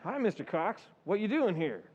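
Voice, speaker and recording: An actor playing an eager young mail clerk in an old-time radio drama, chirpy and folksy. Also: male voice, high voice, big pitch swings, moderate pace, breathy edge, highs cut off, full character voice.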